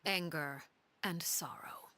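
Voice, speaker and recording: Game villainess: low, hushed, breathy, measured delivery, stern authority, icy menace. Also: female voice, no echo. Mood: cold, stern. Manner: measured, deliberate, menacing calm. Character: cold, commanding woman crime-house head called Father. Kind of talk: video game voice acting.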